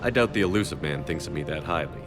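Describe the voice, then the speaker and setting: A man playing a steady, no-nonsense military commander in a video game, speaking tersely and dryly. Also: very deep voice, fast talker, music in the background. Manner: terse, dry. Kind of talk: dramatic video game acting.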